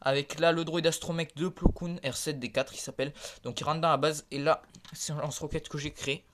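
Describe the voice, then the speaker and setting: A man with a head cold giving a halting, filler-heavy show-and-tell of his toy collection, filmed on a phone in a converted attic. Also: on the high side, steady tone. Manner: halting, filler-heavy, points things out one by one. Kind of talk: casual show-and-tell narration. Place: converted attic room with creaky floor. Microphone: phone camera held in hand.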